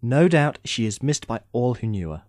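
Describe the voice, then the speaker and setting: A man's voice: mid-range voice, very animated, normal speed, a little mellow, a little hiss or hum, close to an everyday voice. A man playing an urbane immortal sorcerer in an audio drama, speaking formally with dry, sardonic impatience. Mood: gently apologetic. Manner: wry, formal, theatrical phrasing.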